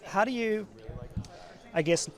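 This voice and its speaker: A man's voice: high-pitched, takes it slowly. A man working as an interviewer, asking questions in a casual, conversational style. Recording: crowd chatter behind the voice.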